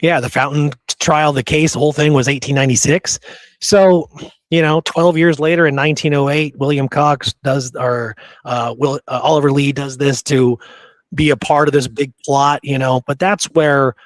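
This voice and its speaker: A man's voice: mid-range voice, fairly even intonation, moderate pace, no echo. Male history podcaster, mid-range voice, informal storyteller, slangy phrasing, very dry recording. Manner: informal, slangy, says dude and you know. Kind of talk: casual conversational history storytelling.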